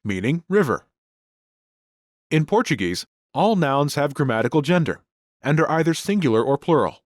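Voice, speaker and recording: A man narrating a scripted grammar lesson in a clear, explanatory teaching style. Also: on the high side, big pitch swings, normal speed, no echo, studio quality. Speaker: language course narrator.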